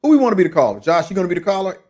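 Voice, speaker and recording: A man hosting a casual podcast chat, animated and quick to laugh as he leads the discussion. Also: sits high. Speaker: podcast host.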